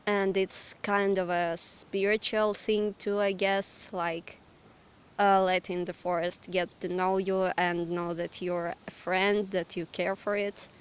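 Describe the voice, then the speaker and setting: A hoarse, slightly sick young woman from Ukraine with a Ukrainian accent, chatting hesitantly and apologetically in a forest. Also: mid-range voice, flat delivery, takes it slowly. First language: Russian. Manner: hesitant, apologetic, lots of fillers. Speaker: vlogger. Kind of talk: casual rambling chat. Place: outdoors in a forest.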